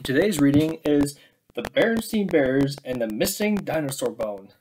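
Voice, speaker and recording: A man's voice: on the high side, lively intonation, moderate pace. Young man reading a storybook, widely varied intonation. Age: young adult. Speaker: storybook reader. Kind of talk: children's story read-aloud. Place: small indoor room with bookshelves. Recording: clearly noisy home webcam recording.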